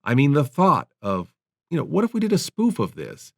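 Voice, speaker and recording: A man's voice: a little high, wildly swooping pitch, normal speed, creaky, darkish tone. Wry male host with swooping intonation. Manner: wry, mock-sincere. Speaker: podcast host. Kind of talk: tongue-in-cheek podcast commentary.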